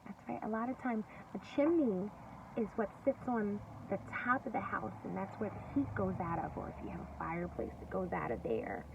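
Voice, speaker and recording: A woman teacher reading a story aloud to young children, expressive and deliberate, pausing to explain and ask questions. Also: medium pitch, vocal fry. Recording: distant, dull lo-fi recording with faint noise.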